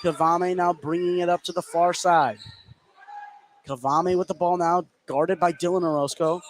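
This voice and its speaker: A man doing energetic play-by-play radio commentary on basketball, following each pass in clipped phrases. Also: sits high, normal speed. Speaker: play-by-play sports announcer. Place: indoor gymnasium at a live game. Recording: clear gym noise behind the voice.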